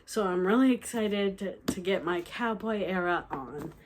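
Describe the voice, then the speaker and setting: A young woman talks through her latest book haul in a loose, chatty way, full of ums and quick restarts. Her voice sounds a little nasal as she gets over a cold, and her pitch sits in the middle. A faint hiss of room noise runs underneath. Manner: chatty, excited, many fillers and restarts. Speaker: book vlogger. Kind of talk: casual book haul chat.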